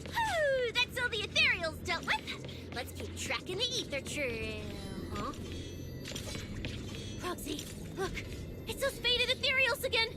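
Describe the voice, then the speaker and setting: A woman playing a brash, boastful young public security officer in a video game, cocky, excitable and chatty. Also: extremely high pitch, slow, over loud music, heavily acted. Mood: casual, upbeat.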